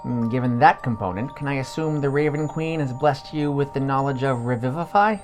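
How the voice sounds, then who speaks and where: A man's voice: medium pitch, fairly quick, over music, pitched above the performer's own voice, natural voice. A man voicing an aloof wizard cat shopkeeper in a curt, neutral manner for a fantasy story podcast. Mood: dryly approving.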